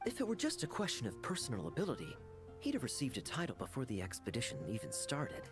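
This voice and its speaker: An actor playing a suave, teasing knight captain in a video game, with a sly, smooth manner. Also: male voice, medium pitch, huge pitch swings, quick pace, music in the background. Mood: amused, courteous.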